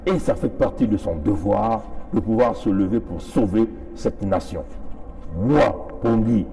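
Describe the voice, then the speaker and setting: A man from Congo-Brazzaville speaks with a Congolese French accent in a lowish, very mellow voice. His pitch moves widely as he delivers an impassioned political address. He is emphatic, repeating phrases to drive them home as an activist would. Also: animated, measured pace, faint music behind.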